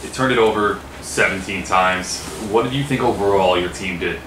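Deep-voiced reporter in an echoey room. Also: male voice, normal speed, noisy background. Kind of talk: press conference questions.

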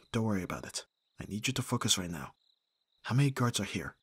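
A man's voice: lowish voice, big pitch swings, fairly quick, slightly rough, no room sound, exaggerated voice. A man playing an abrasive but caring bodyguard in an audio roleplay, speaking with exasperated urgency and sighs. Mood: focused urgency. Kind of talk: ASMR audio roleplay acting.

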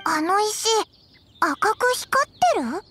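A woman voicing a tiny, excitable fairy companion in an anime game, childlike and squeaky, with blunt, boyish phrasing. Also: takes it slowly, heavily acted. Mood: wary, curious. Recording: music under the dialogue.

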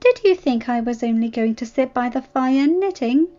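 A woman's voice: sits high, lively intonation, normal speed, warm tone, pitched above the performer's own voice. A woman voicing a playful, shrewd young noblewoman in a story, fond and assured. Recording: muffled lo-fi recording, music behind.

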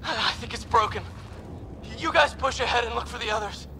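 Hurt space soldier in a game, creaky high strained voice, gritty, noisy behind. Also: male voice, fairly quick, sharp tone, heavily acted. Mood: pained, stoic. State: strained with pain. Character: wounded, gritty male space soldier, stoic. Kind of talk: video game voice acting.

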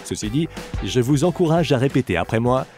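Lively man narrating an audio lesson. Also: medium pitch, quick pace, soft background music. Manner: encouraging, addresses the listener directly. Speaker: audiobook language teacher. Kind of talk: scripted bilingual lesson narration.

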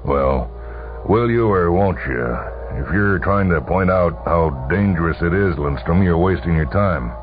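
A man's voice: low-pitched, animated, a little slow, voice pushed up. A man voicing a middle-aged general, blunt, impatient and resigned, in a radio story. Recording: tinny vintage radio broadcast, music behind.

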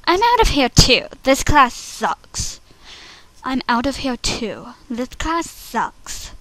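A woman playing an outspoken schoolgirl from an animated series in short, dramatic readings. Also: sits high, slow, exaggerated voice, rough recording. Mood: fed up.

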